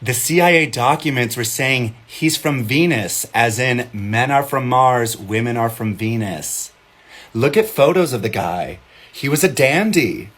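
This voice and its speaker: A man's voice: mid-range voice, lively intonation, measured pace, fairly bright tone. A genderqueer man, a content creator, talks to camera in a sassy, emphatic, lecturing way. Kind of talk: casual talk to camera.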